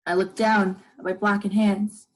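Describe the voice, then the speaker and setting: A woman's voice: medium pitch, flat delivery, normal speed, natural voice, rough recording. A young woman playing a weary, haunted male professor who narrates his survival journal in a radio drama. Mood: brooding. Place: living room at home.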